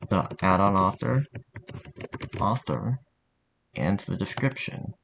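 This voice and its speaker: Tutorial host: man, lowish voice, casual and halting delivery, slightly noisy desk recording with typing behind. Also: animated, gravelly, fairly bright tone. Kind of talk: casual software tutorial narration.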